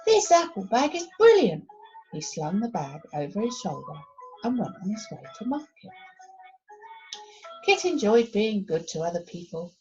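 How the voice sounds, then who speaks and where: A woman reading a children's storybook aloud as expressive narration. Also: medium pitch, muffled recording. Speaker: primary school story reader.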